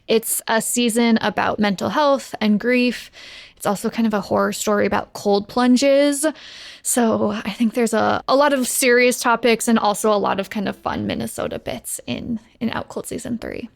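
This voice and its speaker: A woman's voice: a little high, vocal fry. An American woman in her thirties talking conversationally as an interview guest about her work. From Seattle, United States. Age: 30s. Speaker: podcast producer and guest.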